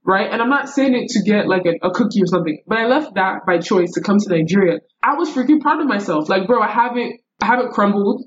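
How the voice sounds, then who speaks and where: A young woman telling stories in casual, slangy, animated talk with Nigerian turns of phrase. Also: mid-range voice, fast, few pauses, lo-fi sound. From United States. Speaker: podcast guest. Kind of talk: casual conversational storytelling.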